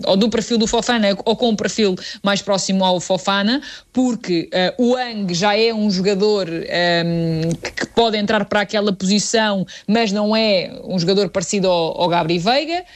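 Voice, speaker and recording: A woman from southern Portugal with a European Portuguese accent, a panelist giving a measured football analysis with many pauses to find her words. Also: medium pitch. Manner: measured, hesitant, many fillers and restarts while building a point. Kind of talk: football podcast discussion.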